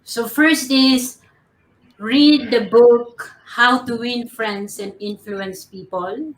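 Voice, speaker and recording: A woman from the Philippines, speaking as a coach on a live panel. Her voice sits a little high and moves slowly and deliberately, with a dry ironic edge. The sound is roomy and echoey, as if caught on a call from a bare room. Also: slight background noise. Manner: slow, measured, ironic with small laughs. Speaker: professional coach, panel guest. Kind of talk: panel talk giving tips.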